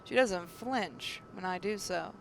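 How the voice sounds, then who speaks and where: A woman's voice: fairly low pitch, slow, creaky, slight background noise, low-quality recording. A woman reading a story aloud as dramatic narration, occasionally stumbling over the words. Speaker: gaming channel narrator reading a visual novel aloud.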